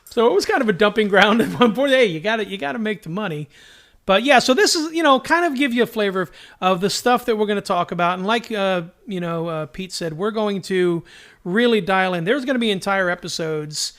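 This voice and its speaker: A man's voice: high voice, expressive, fairly quick, a bit bright. A middle-aged man co-hosting a podcast in casual, jokey chat, telling stories with quoted asides. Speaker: podcast co-host.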